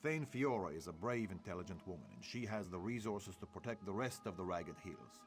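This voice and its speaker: Low-voiced gruff soldier. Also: male voice, expressive, fairly quick. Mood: businesslike. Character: gruff male soldier, a centurion and family man, weary. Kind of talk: video game dialogue acting.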